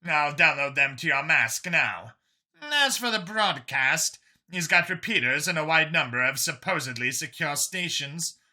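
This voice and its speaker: Alien dispatcher type male narrator doing it, brisk, procedural, sharp bright voice, sci-fi audiobook. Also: a little high. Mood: businesslike. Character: Cavazor, businesslike alien police handler on comms.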